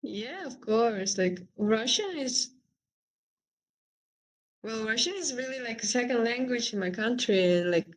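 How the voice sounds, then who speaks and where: Young girl chatting casually, fairly high voice, says "like" a lot. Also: unhurried, pauses often, poor audio. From Uzbekistan. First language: Uzbek. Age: young adult. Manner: relaxed, frequent "like" and "actually". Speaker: language exchange partner. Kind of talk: casual video chat.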